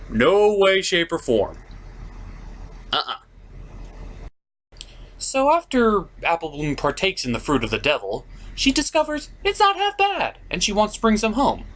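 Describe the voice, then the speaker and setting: A man's voice: sits high, huge pitch swings, a little slow, pauses often, a bit bright. A man hosting a scripted comedic cartoon review, narrating in a theatrical, exclamatory way.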